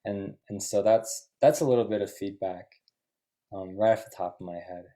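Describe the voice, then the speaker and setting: A man with a dark, relaxed timbre and a slightly low pitch speaks as a panelist. His delivery is unscripted and reflective, like feedback offered on the spot. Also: moderate pace.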